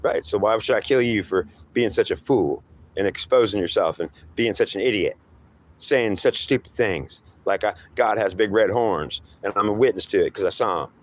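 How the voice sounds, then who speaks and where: A man's voice: on the low side, normal speed, close to an everyday voice. A man acts out a horned devil who passes himself off as God. He taunts and threatens in a scornful lecture, circling back to goad again and again in a homemade dramatic dialogue. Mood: threatening, scornful. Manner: scornful, goading, repetitive lecturing.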